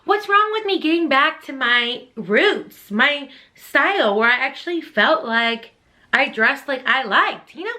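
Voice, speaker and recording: A woman in her thirties from Texas with a Texan accent, chatting quickly and casually to camera about books she wants to read. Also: sits high, animated, bright, forceful tone. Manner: chatty, quick, addresses viewers as y'all. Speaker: book vlogger.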